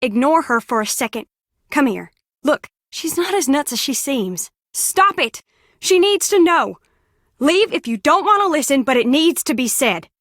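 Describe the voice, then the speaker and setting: A woman's voice: sits high, sing-song, normal speed, fairly bright tone, no room sound, studio quality. An actress playing an earnest, troubled young woman in a video game, speaking with urgent, pleading sincerity. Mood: desperate. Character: earnest, troubled young woman confiding dark family secrets. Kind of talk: scripted game voice acting.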